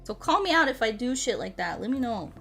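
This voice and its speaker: Casual woman streamer over clear music. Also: on the high side, brisk. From United States. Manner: animated rambling, frequent swearing. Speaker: streamer chatting with viewers during a puzzle game. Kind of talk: casual livestream chat.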